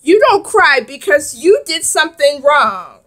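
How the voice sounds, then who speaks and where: A woman's voice: high-pitched, sing-song, unhurried, a bit bright, exaggerated voice. A woman playing a firm mother who lectures her children. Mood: stern scolding. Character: stern, scolding mother.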